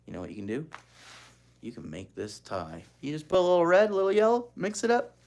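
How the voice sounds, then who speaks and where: An expressive storyteller reading a children's book aloud, pausing to explain things to young listeners. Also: medium pitch. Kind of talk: animated read-aloud with asides to child listeners.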